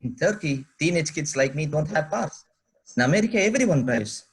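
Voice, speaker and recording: Brief: man reads polite foreign teen boy, formal and hesitant, slightly husky, a bit high. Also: expressive, a little fast. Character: polite teenage boy from abroad, earnest. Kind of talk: script table read.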